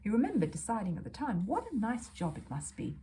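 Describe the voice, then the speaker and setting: A woman reading a children's book aloud as a storyteller, expressive and dramatic, dropping into hushed asides. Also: medium pitch, fast, slight background noise. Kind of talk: expressive children's audiobook narration.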